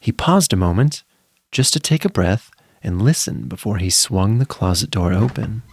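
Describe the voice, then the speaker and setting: Guy reading a kids story, lowish voice, really lively and dramatic, builds suspense. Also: moderate pace, creaky, background noise. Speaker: storyteller, narrator. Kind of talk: scripted children's story narration.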